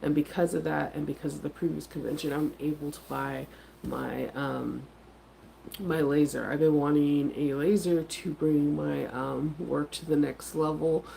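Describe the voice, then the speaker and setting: A woman's voice: low-pitched, fairly even intonation, unhurried, creaky, warm tone, slight background noise, rough recording. A middle-aged woman with a head cold, sounding congested as she chats casually to her viewers in a rambling, filler-heavy way. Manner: rambling, chatty, many fillers. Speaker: vlogger and craft vendor. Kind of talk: casual morning chat to viewers.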